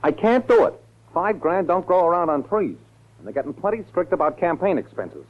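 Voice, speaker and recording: High, slangy, hard-nosed political fixer. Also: male voice, very animated, fairly quick. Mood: exasperated, scornful. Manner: slangy, blunt, insistent. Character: hard-nosed, exasperated male political campaign manager. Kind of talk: 1940s radio acting. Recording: tinny 1940s radio recording.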